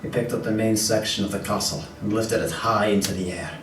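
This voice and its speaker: A man performs a grave teller of dark legends in an audio drama. The voice is fairly low, heard from afar with echo and music. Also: steady tone, brisk, close to an everyday voice. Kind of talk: scripted storytelling in audio drama. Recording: echoey distant playback with music.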